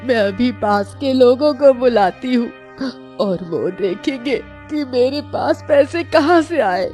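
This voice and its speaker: A woman's voice: fairly high pitch, lively intonation, slow, darkish tone, soft background music. A woman playing a sly, thieving old woman in a cartoon story, with a wheedling, complaining manner. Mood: wailing, indignant. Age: older.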